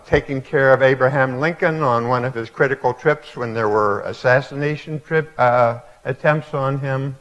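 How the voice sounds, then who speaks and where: An elderly man in his eighties speaks slowly to an audience in an auditorium, pausing often and filling gaps with hesitant fillers as he reflects. His voice sits at an ordinary middle pitch, and the live stream sound is dull and lo-fi. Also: a bit bright. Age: 80s. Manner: halting, reflective storytelling with many fillers. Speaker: guest speaker. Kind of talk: public lecture.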